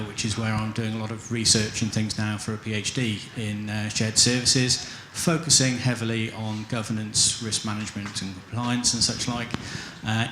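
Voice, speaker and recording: A man with a lowish, bright voice talking in a flat, even line on a conference panel. He rambles modestly and fills his pauses with ums. The hall adds a clear echo. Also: slight background noise. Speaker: head of internal audit, panelist. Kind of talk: conference panel self-introduction.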